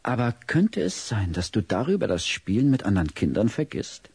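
A big, kindly child psychologist in a radio play, gently probing and explaining. The voice is husky and lively in pitch, recorded dry. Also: male voice, normal speed, no room sound, full character voice. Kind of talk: audio-drama acting.

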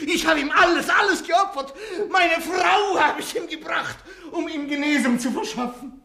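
A man's voice: very high voice, normal speed, gravelly, reverberant, shouting, crowd noise. An actor playing an indignant, jealous Venetian businessman in a German radio drama, blustering and declamatory. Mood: indignant boasting.

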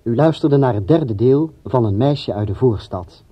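A man's voice: mid-range voice, expressive, normal speed, music in the background. A man reading a scripted closing announcement for a radio drama as announcer, on a dull lo-fi recording.

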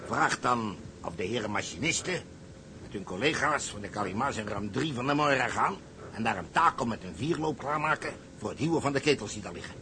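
A man's voice: medium pitch, lively intonation, a little fast, background noise, natural voice. Man playing a cocksure, commanding sea captain. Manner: confident, theatrical, commanding. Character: cocksure, scheming salvage captain giving orders. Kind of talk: Dutch radio play acting.

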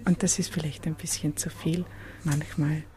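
A woman's voice: deep voice, level tone, moderate pace, warm tone. A woman explaining her opinion tentatively in a spoken interview.

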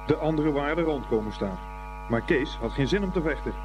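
A man's voice: a little high, lively intonation, quick pace, background noise. A man narrating a scripted story as the narrator of a radio play. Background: music.

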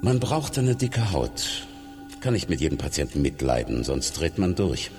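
An actor playing a blunt, hardened surgeon in a radio drama, wry and matter-of-fact. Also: male voice, a bass voice, big pitch swings, moderate pace, darkish tone, in a large hall, faint music behind, full character voice. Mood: detached, reflective. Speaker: surgeon and hospital doctor.

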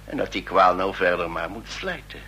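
An actor playing an experienced, chatty, slightly weary family doctor of middle age in a Dutch radio drama. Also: male voice, sits low, animated, moderate pace, sharp tone, slightly rough, exaggerated voice. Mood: resigned. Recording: noisy, humming old radio recording.

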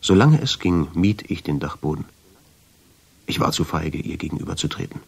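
An actor playing a brooding, guilt-ridden man who tells his own story in a measured, literary way in a radio drama. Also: low voice, expressive, creaky, slightly rough, exaggerated voice. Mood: dry, wry. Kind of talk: scripted first-person radio drama narration.

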